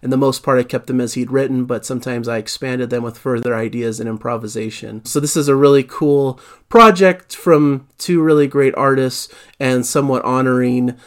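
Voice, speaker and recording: Guy doing a casual music news recap, mid pitch, lots of ums and restarts. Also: normal speed, few pauses. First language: not Italian. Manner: easygoing, many fillers and restarts.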